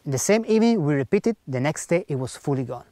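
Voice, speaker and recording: A man's voice: fairly high pitch, lively intonation, fast talker, mellow, dry recording. A man speaking English as a second language, earnestly telling a personal faith story and acting out the dialogue in simple grammar. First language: not English. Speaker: speaker giving a personal testimony.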